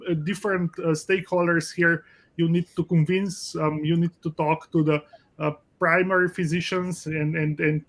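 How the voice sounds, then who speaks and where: Slovenian-accented professor, man, higher-pitched and monotone, halting and repetitive. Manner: halting, many restarts and fillers. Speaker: pediatric lipid specialist, professor. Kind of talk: panel discussion.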